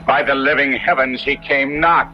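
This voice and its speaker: A man's voice: medium pitch, fairly even intonation, normal speed, lightly acted. A man acting a tormented young Italian nobleman trapped in a monster's body, narrating a 1970s radio horror drama in florid, theatrical diction. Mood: anguished, dramatic. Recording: dull vintage radio recording with loud music.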